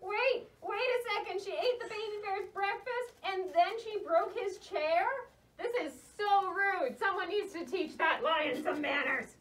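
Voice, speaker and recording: A tiny, very high-pitched mouse frets through a children's puppet show. It is skeptical and easily scandalized, exclaiming nervously. The sound sits a little away from the microphone. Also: female voice, unhurried, a bit bright, recorded from a distance, rough recording. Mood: worried.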